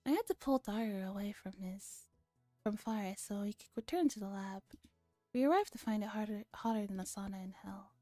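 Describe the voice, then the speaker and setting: Woman reading as a pompous young man, mellow. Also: medium pitch, fairly quick, dry recording, faint music behind, natural voice. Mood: exasperated. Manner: pompous, dramatic. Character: self-important delusional young man narrating a visual novel.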